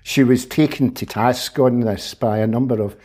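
A man, a humanitarian aid worker giving measured, thoughtful answers as an interview guest. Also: mid-range voice, fairly even intonation, dark tone. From Scotland. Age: 50s. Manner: measured, thoughtful, qualifies his words. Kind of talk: reflective interview conversation.

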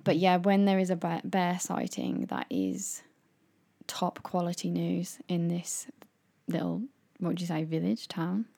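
A woman's voice: on the low side, unhurried, creaky, warm tone. A young British woman in casual chat as a podcast co-host, chatty and playful, laughing often.

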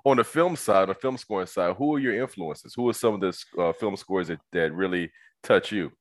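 Male host, very low pitch, relaxed and encouraging, dry close recording. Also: fairly quick, dry recording. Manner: relaxed, encouraging, frequent backchannels. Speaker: interviewer. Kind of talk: casual interview.

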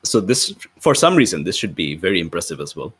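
Male tabletop player, lowish pitch, casual improvised role-play chat. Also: sing-song, fairly quick, a bit bright, breathy edge.

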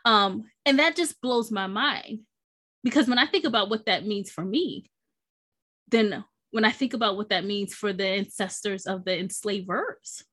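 Woman talking candid and emphatic, medium pitch, keeps tossing out questions and checking you follow. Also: normal speed, fairly bright tone. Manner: emphatic, asks rhetorical questions, checks in with "right?". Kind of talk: reflective, candid conversation.

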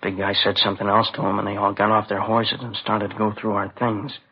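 An actor playing a shaken, exhausted young student witness in a 1950s radio drama, halting and subdued. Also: male voice, on the low side, flat delivery, creaky. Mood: shaken, recounting. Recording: dull old radio recording, faint noise.

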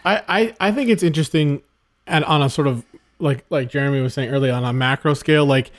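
A man's voice: fairly high pitch, fairly quick. A man co-hosting a film podcast, thinking aloud and rambling through his point with restarts. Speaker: podcast co-host. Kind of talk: casual film discussion.

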